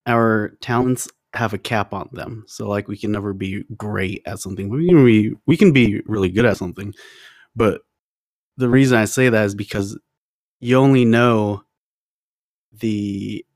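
A man's voice: fairly low pitch, normal speed, no echo, studio quality. A man chatting casually in a teasing, joking manner. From Filipino background.

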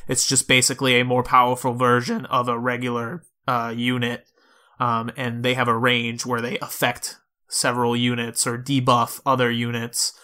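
A man's voice: medium pitch, monotone, normal speed, bright, forceful tone. A man co-hosting a podcast, talking through video games in a conversational, reasoned way with asides. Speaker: podcast co-host. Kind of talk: casual video game discussion.

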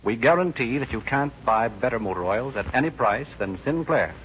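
An American man narrates as a formal 1930s radio announcer. His voice is medium in pitch and measured, heard through a dull, faintly noisy vintage recording. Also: expressive, a bit bright.

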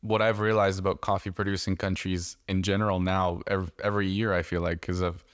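A man from Canada with a smooth, clear voice that sits on the low side, hosting a relaxed interview. He tells his stories haltingly, with lots of "um" and restarts. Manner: hesitant, many fillers and restarts while storytelling. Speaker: podcast host. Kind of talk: casual conversational interview.

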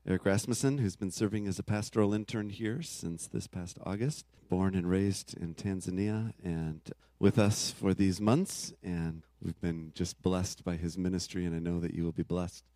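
A man with a deep, mellow voice speaks as a church host, welcoming a guest in measured, formal phrases. The sound is dry and close. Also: steady tone, no echo. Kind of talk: formal warm welcome from the pulpit.

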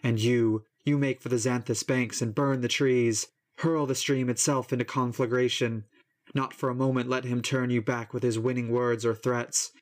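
A regal goddess snaps out urgent battle orders in a steady, even voice, with little swing in pitch, as a man reads her lines in an epic poem. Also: moderate pace, natural voice. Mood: commanding. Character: proud queen goddess, commanding and urgent.

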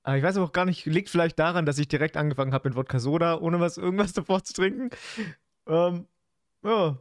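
A young man with a fairly high voice co-hosts a podcast among friends. He speaks in loose, slangy, colloquial phrases, recorded close and dry with no room sound. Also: fast talker, no echo. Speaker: podcast co-host. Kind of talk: casual chat among friends.

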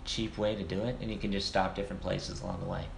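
An American woman hosting a relaxed, casual conversation in everyday language. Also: rumbling low, fast talker, gravelly. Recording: roomy echo, faint background noise.

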